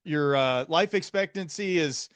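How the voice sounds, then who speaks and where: A man's voice: fairly high pitch, a little slow, fairly bright tone. An American man chatting casually as the host of an interview podcast.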